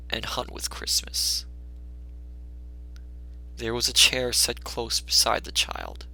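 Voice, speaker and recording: A male narrator reads a storybook in an expressive, measured way. His delivery is slow, with a bright edge and a gravelly creak in the voice. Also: mid-range voice, poor audio.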